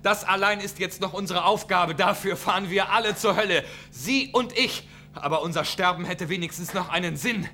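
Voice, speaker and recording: Spaceship chief, intense and authoritative, sharp forceful tone, science-fiction radio play. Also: male voice, sits high, moderate pace, soft background music. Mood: desperate, furious. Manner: authoritative, clipped orders, urgent. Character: commanding male spaceship chief, grave and intense. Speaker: voice actor. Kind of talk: German science-fiction radio drama acting.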